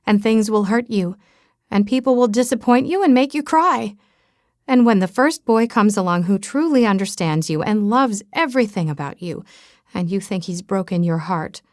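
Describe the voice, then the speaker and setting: A woman's voice: medium pitch, no room sound, clean studio sound, lightly acted. A woman voicing a middle-aged mother who speaks earnestly and emotionally.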